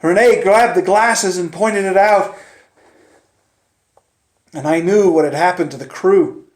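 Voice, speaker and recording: Slow, theatrical male storyteller in echoey room. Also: sits high. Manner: theatrical, swinging from hushed near-whispers to loud urgent peaks; deliberate, with dramatic pauses and repetition. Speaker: storyteller narrating in first person. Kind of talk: dramatic live oral storytelling of a suspense tale. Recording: roomy, echoing space.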